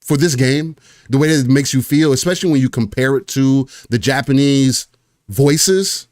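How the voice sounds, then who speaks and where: A man's voice: medium pitch, moderate pace, darkish tone, no echo. Chatty man rambling on a dry podcast mic. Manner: rambling, many fillers and restarts. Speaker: podcast host. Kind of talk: casual gaming chat.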